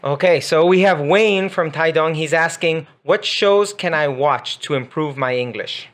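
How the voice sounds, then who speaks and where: A man's voice: fairly high pitch, measured pace, fairly bright tone, soft background music. A man whose first language is Spanish, co-hosting a radio show and giving direct, conversational advice as an English teacher. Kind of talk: casual radio chat giving English-learning tips. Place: radio studio.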